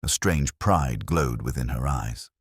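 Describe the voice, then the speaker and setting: Really deep male voice reading a mystery story, dramatic swings, kinda husky. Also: animated, measured pace, no room sound, clean studio sound. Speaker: narrator. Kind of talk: scripted mystery story narration.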